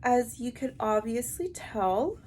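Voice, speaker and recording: A woman with a stuffy, heavily congested nasal voice, talking to camera in a halting, explanatory way in a personal vlog. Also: on the high side, lively intonation, a little mellow. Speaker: vlogger. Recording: amateur recording with light background noise.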